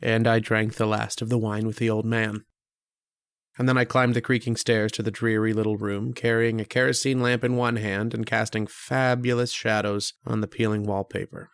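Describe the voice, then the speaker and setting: A man as a gravelly, brooding student lodger. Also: fairly low pitch, flat delivery, no room sound, clean studio sound, lightly acted. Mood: brooding, reflective. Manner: hesitant in dialogue, brooding and measured in narration. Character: polite, curious male student lodger narrating a ghost tale. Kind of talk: old-time radio horror drama narration.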